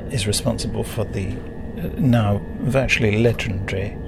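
A man's voice: lowish voice, moderate pace, vocal fry. Chatty British man, noisy location recording. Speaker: audio producer and actor. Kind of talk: informal spoken diary and chat on location. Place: on location while travelling: train, station, car, outdoors. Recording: noisy location recording, train and car sounds.

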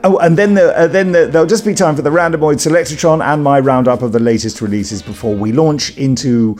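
A man's voice: a little high, a little fast, a little mellow, faint music behind. A man with a British accent, chatting casually with dry humour as a podcast co-host. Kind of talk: casual chat.

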